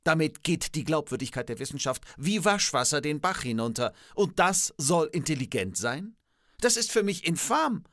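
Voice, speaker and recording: A man's voice: fairly high pitch, sing-song, normal speed, fairly bright tone, no room sound, studio quality. A man playing an old-fashioned, technology-skeptical professor in a scripted satirical dialogue, pedantic and theatrical. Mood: indignant.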